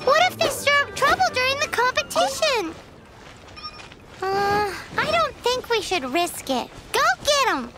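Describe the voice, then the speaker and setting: Eager cartoonish game girl, very high and swooping, cutesy delivery, music underneath. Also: sing-song, measured pace, heavily acted. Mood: alarmed. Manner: exclamatory, cutesy, theatrical. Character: bubbly, eager young girl characters in anime fantasy game. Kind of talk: animated video game voice acting.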